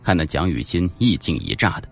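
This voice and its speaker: A man's voice: low voice, big pitch swings, a little fast, gravelly, soft background music. A man giving a scripted narration of a suspense novel as an audiobook narrator.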